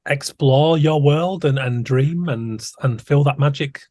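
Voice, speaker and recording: A man's voice: on the high side, measured pace, mellow, no room sound. A man hosting an interview podcast, talking in a thoughtful, musing way with frequent restarts. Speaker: podcast host. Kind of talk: conversational interview.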